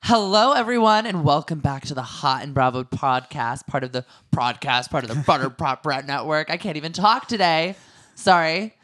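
A man's voice: on the high side, normal speed, a bit bright. A man hosting a casual podcast, campy and sassy, his voice a little scratchy from being sick. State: slightly hoarse. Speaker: podcast host. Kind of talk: casual chat.

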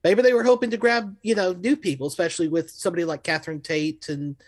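A man's voice: high-pitched, fairly even intonation, quick pace. A man co-hosting a podcast in a casual, analytical discussion. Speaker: podcast co-host.

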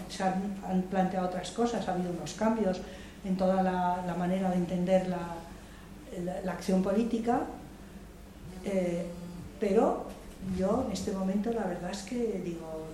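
An older woman from Madrid speaking at a public debate, reflective and hesitant, with many restarts and repeated words. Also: on the low side. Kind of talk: spontaneous political talk at a public debate. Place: large hall, public debate session. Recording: echoey room, voice from far off, faint noise.

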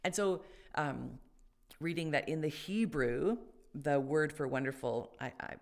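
A woman's voice: low-pitched, measured pace. A woman co-hosting a faith podcast, talking casually and thoughtfully, with many fillers and restarts. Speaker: podcast co-host.

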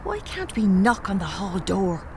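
A woman's voice: medium pitch, sing-song, a little slow, a little hiss or hum. An actress playing a jumpy, blunt young Irish woman in a comedy audio drama. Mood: uneasy. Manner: nervy, blunt, salty. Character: jumpy, plain-spoken young Irish woman, easily spooked.

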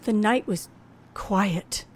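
A woman's voice: medium pitch, very slow, a little mellow, a little hiss or hum. An actress playing a frightened woman narrating her own ordeal in a 1940s-style radio thriller, dramatic and suspenseful. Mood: tense, shaken. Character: frightened young woman narrator held captive by killer. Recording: old radio drama with music behind.

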